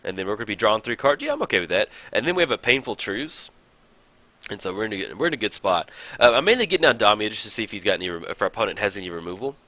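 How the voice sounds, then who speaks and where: A man casually commenting on his own card game match, thinking aloud with many fillers, his voice a bit raspy in the morning. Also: on the low side, big pitch swings, rapid-fire, sharp tone, no room sound. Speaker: card game video host.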